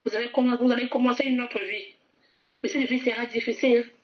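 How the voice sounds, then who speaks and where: A woman's voice: fairly high pitch, fairly even intonation, fairly quick, muffled recording. A woman preaching a sermon fervently, repeating phrases and asking listeners to repeat after her.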